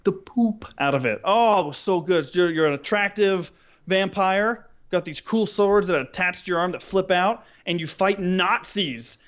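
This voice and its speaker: High pitched guy cohosting a podcast, excitable comic ranting, acts stuff out. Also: normal speed. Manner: excitable, theatrical, acts out reactions. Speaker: podcast co-host. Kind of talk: casual chat, comic ranting.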